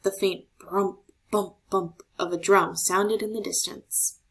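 A woman reads a children's story aloud. Her delivery is expressive, in an average-pitched voice with a clearly creaky edge. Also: takes it slowly. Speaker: storybook reader.

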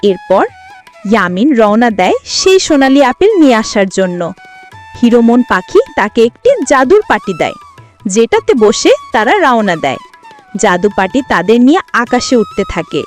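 A woman narrates a children's fairy tale. Her voice is fairly high and moves with lively intonation, over soft background music. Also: moderate pace. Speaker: storyteller.

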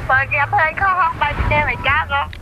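A woman's voice: high voice, rapid-fire. A woman talking casually in a phone conversation, heard over a speakerphone outdoors. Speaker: prank call recipient. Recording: loud noise over speakerphone call outdoors. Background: loud background noise.

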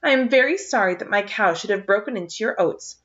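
A young girl with a firm, dignified manner, careful and proper in her speech as a woman reads her in a storybook. Her voice sits a little higher than the reader's own and sounds bright, with a somewhat dull lo-fi recording. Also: lively intonation, brisk, natural voice.

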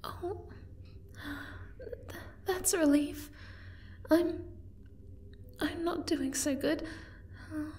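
A woman voicing a gentle, timid, caring young moth girl in an ASMR roleplay, soft-spoken and hesitant. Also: very high-pitched. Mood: hurt, weak.

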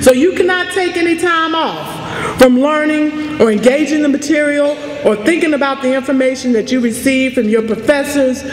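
A middle-aged woman speaking as a college president to an audience, with emphatic, deliberate delivery. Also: high voice. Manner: emphatic, deliberate oratory with repeated phrases. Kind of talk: inspirational address and audience Q&A. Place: large chapel, indoors. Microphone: podium microphone. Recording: echoey hall, faint noise and crowd.

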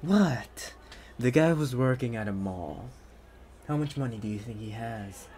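A man playing a rich, possessive, doting boyfriend in a soft-spoken, coaxing ASMR roleplay. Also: medium pitch, animated, a little hiss or hum, lightly acted. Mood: breezy, generous. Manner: soft-spoken, unhurried, coaxing with pet names. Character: rich possessive young boyfriend, smooth, doting, quietly menacing.

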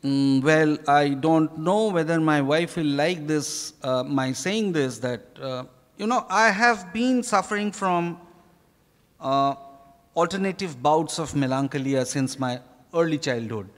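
A middle-aged Indian-accented man gives reflective answers on stage into a handheld mic. His voice sits fairly high. The hall adds a long reverb to his halting, emphatic phrases. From Kolkata, India. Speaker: author being interviewed. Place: large hotel ballroom stage. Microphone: handheld microphone.

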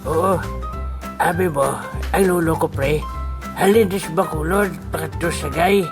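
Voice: medium pitch, unhurried, close to an everyday voice. A performer voicing an amiable, boastful old village man chatting with a friend in a comic audio drama. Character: old village man, amiable, one-upping braggart buddy. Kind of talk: amateur comedy audio drama dialogue. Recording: music playing clearly behind the voice.

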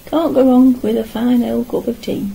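High-voiced, creaky middle-aged woman. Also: steady tone, moderate pace, mellow, a little hiss or hum, poor audio. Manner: chatty, talking to herself as she works. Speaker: artist and vlogger. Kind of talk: casual narration while painting. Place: small home art studio, indoors.

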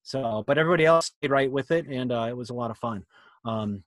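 A middle-aged man presenting an informal webinar on a video call, with a playful, animated storytelling manner. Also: mid-range voice, fast talker, dry recording. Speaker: presenter, youth theatre director. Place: small room indoors, video call.

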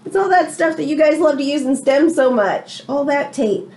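Female teacher, middle-aged, high lively voice, expressive and chatty, talking straight to a young class. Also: moderate pace, slight background noise. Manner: expressive, addresses young children directly. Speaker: elementary STEM teacher reading aloud. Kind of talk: children's read-aloud with chatty asides. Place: small indoor room.

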